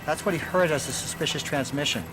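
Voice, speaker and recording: A man's voice: fairly high pitch, fast talker, close to an everyday voice. A man playing a busy, matter-of-fact air traffic controller using clipped procedural talk. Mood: businesslike. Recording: loud music under voice.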